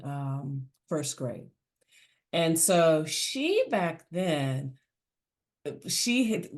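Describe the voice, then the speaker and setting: Low-voiced woman podcast host. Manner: reflective, shares personal stories. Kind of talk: casual interview chat.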